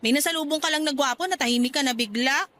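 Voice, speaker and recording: A woman's voice: sits high, fast talker, bright, forceful tone, a little hiss or hum. An actress playing a sulky, jealous wife in a radio drama, accusing and defensive as she bickers. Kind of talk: Tagalog radio drama acting.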